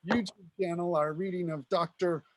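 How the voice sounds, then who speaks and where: American man, high voice, thoughtful and a little halting. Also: fairly even intonation, dry recording. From United States. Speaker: reading group member. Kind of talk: casual chat.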